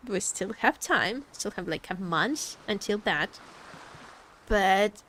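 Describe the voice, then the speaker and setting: A woman's voice: mid-range voice, lively intonation, takes it slowly, fairly bright tone, slight background noise, low-quality recording. A woman live-streaming a game, chatting casually and expressively, often repeating her phrases. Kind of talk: casual livestream chat while gaming.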